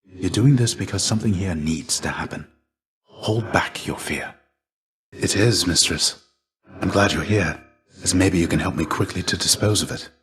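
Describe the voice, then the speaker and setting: A man voicing a weary, gentle fallen Greek warrior as a fatherly mentor in a video game, speaking in a measured, formal, old-fashioned and earnest way. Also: low-pitched. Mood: grave, guarded. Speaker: voice actor. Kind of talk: video game character dialogue, measured and theatrical.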